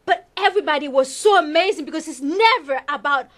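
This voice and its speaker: A middle-aged Haitian-accented woman speaks with a fairly high voice. She is eager and animated as a guest on an interview, and she often restarts on small words while she finds her phrasing. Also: brisk. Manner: enthusiastic, repeats words when searching for them. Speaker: councilmember guest. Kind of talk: interview conversation.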